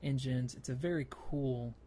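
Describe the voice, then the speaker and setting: A man hosting a casual gaming podcast, talking in a halting way as he thinks aloud. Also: medium pitch, steady tone, mellow. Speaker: podcast host.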